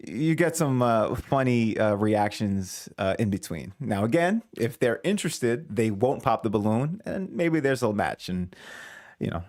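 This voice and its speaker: A man's voice: on the low side, dry recording, clean studio sound. A man commenting casually on a dating show video, rambling, correcting himself and chuckling at his own asides. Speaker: reaction video host.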